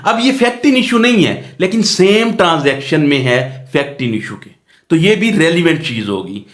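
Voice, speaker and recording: Slow, high-pitched man lecturing. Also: animated, a bit bright. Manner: didactic, repeats phrases and asks rhetorical check questions. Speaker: law lecturer. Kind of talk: explanatory lecture mixing in English legal terms. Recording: echoey, roomy sound.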